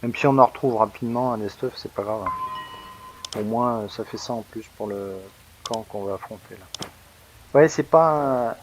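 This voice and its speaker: A man's voice: medium pitch, normal speed, slight background noise, low-quality recording. A man hosting a let's play, giving casual, rambling commentary full of hesitations and restarts as he plays.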